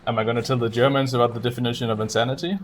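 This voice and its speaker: A man giving casual, halting live commentary as he streams a video game speedrun. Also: mid-range voice, steady tone, slight background noise.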